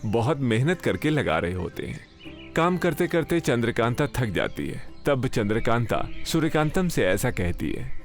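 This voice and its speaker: A man narrates a scripted story in a mid-pitched voice. He works as the storyteller linking the scenes, with music clearly playing underneath. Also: sing-song, a little slow.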